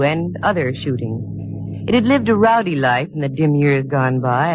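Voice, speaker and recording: A woman's voice: sits low, lightly acted. An actress playing a shrewd, matter-of-fact female private detective in 1950s radio style, narrating her case with a wry edge. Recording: dull old radio recording with music.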